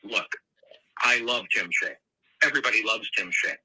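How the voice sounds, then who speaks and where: A man's voice: lowish voice, moderate pace, bright, forceful tone, husky. A man from Milwaukee leaving a wry, mock-desperate voicemail while home sick. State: ill, recovering. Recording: tinny phone voicemail.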